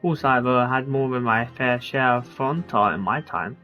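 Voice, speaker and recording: A man's voice: mid-range voice, normal speed, bright, forceful tone, highs cut off. A man giving casual commentary as he plays a game, talking as a streamer. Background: game music.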